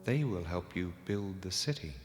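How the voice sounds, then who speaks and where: A man's voice: low voice, lively intonation, moderate pace, long reverb tail, soft background music. A man voicing a mysterious, commanding goddess of the sky in a children's myth, speaking in a solemn, declamatory way. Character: mysterious female divine voice, daughter of the sky, commanding.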